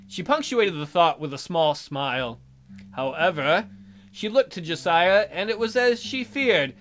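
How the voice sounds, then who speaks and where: A let's play host narrates a visual novel in a bright, animated voice. The reading is broken by wry, teasing asides, with music underneath. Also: medium pitch, unhurried. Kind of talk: story narration with wry running commentary.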